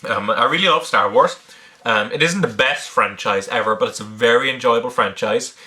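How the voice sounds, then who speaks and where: Bright-voiced man, mixed Irish-British-American accent. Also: medium pitch, moderate pace. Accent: blend of Irish, British and American. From Ireland. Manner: hesitant, thinking aloud, frequent ums. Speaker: online video creator answering viewer questions. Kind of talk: casual unscripted Q&A talk.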